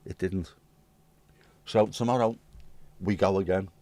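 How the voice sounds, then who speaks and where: Hoarse British man, very deep voice. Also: fairly even intonation, unhurried, dark tone, no echo. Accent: British English. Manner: blunt, swears casually. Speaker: livestream host. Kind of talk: casual chat reading viewer comments.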